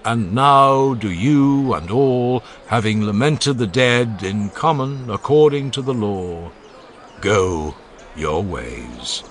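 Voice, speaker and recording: A grave, eloquent old philosopher declaims a solemn funeral-style oration in a dramatized audiobook, voiced by a man. His voice is low, and it rises and falls expressively through the long sentences. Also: expressive, slow pace. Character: older male philosopher, grave, eloquent orator. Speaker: Socrates.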